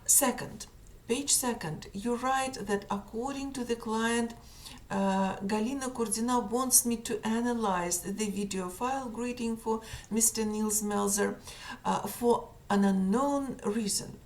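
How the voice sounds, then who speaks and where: A woman's voice: fairly high pitch, a little mellow, distant mic. Methodical woman with a Russian accent. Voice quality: halting, many fillers, careful deliberate phrasing. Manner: methodical, pointed, slightly sarcastic. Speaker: complainant reading a list of errors. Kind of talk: reading a numbered list of objections.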